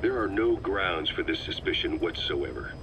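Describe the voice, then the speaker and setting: An actor playing a calm, calculating middle-aged military officer in a video game, speaking with measured, persuasive assurance. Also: male voice, low-pitched, dull audio, background noise. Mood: firm, challenging. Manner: measured, assured, reasoning patiently. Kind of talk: dramatic game voice acting.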